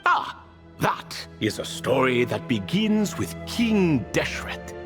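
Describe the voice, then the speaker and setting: A man's voice: a little high, sing-song, slow, music in the background. A man voicing a proud, ancient ibis king in a video game, telling stories in a formal, nostalgic, theatrical way. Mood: nostalgic, solemn. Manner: theatrical, rambling, formal storytelling. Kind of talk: video game character acting.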